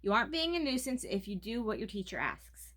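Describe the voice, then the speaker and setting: A woman's voice: on the high side, very animated, a little fast, fairly bright tone. Woman reading as a matter-of-fact storybook dad. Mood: reassuring. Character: teasing, absent-minded, reassuring storybook father of two girls.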